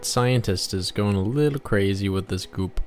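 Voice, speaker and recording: Man, lowish voice, casual livestream chat, low-key muttering, game developer, faint background music. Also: moderate pace.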